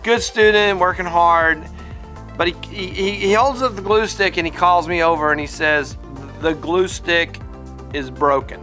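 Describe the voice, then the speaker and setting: A middle-aged man with a bright, forceful voice, talking to camera in a casual vlog. His pitch sits a little high for a man, and he stumbles and repeats words. Faint music plays behind him. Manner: halting, repeats words when serious, upbeat when telling the story. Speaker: vlogger, teacher.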